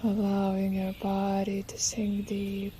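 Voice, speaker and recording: A woman guides a relaxation meditation in a slow, soothing voice. Her delivery is gentle and quite even, with little rise or fall. Faint nature sounds and birdsong sit behind her. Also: mid-range voice, noisy background. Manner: soothing, unhurried, gentle instruction. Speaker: yoga nidra teacher.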